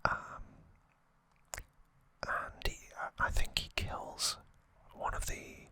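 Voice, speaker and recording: An ASMR host speaks entirely in a breathy whisper with a bright, crisp edge. The delivery is very slow and informal, arriving in short, halting phrases as in a relaxed recap for listeners.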